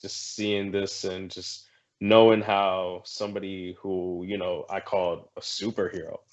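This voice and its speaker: Low-voiced man, halting and emotional. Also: flat delivery. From Haiti. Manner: emotional, halting, searching for words. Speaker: podcast guest. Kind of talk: casual chat.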